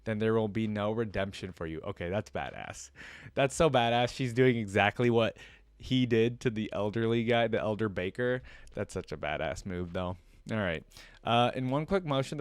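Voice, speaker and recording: Male reaction host, lowish pitch, very dry sound; narrates a story with casual, slangy commentary. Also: dry recording.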